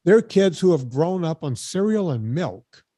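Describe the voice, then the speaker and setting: A man's voice: on the high side, very animated, a little slow, warm tone, dry recording, clean studio sound. A man in his late fifties hosting a podcast, telling stories in a chatty way and cutting in with questions. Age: 50s. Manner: chatty, storytelling, interrupts with questions. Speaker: podcast host. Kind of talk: casual interview chat.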